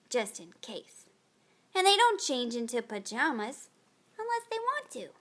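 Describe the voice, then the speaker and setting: A woman reading a picture book aloud to children in a dramatic, expressive storytelling style. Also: sits high.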